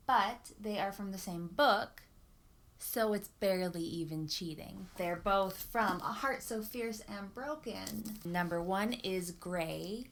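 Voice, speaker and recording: Young female vlogger, slow pace, slightly low pitch, enthusiastic and emphatic, casual delivery. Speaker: book vlogger. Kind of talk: casual chat to camera.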